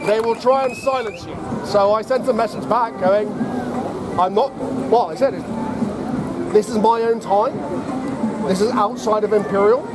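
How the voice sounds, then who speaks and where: A high-pitched man from Hong Kong tells his own story in a halting, measured way. He is speaking outdoors over loud urban traffic noise. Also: a loud crowd. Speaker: guest speaker, honorary lecturer. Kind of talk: personal account to a street gathering. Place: outdoors in an urban public space. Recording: very noisy outdoor street with traffic.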